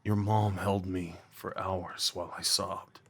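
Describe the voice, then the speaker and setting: Husky, tender young man, acted by a man. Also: low voice, level tone, fairly bright tone, exaggerated voice. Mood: regretful, hushed. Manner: halting, confiding, emotionally earnest. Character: remorseful young man, former delinquent, tenderly confessing old love. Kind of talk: intimate ASMR roleplay audio drama.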